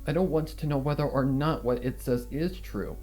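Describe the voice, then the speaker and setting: A man narrating a dark first-person fan fiction story in a brooding, deliberate, dramatic way. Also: mid-range voice. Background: guitar music.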